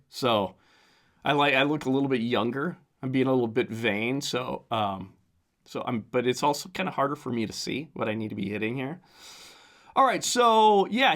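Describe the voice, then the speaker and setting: Hoarse man hosting a fishing podcast. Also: mid-range voice, fairly bright tone. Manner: rambling with fillers, reading aloud from a newsletter. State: hoarse, strained voice. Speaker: podcast host. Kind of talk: casual solo podcast commentary.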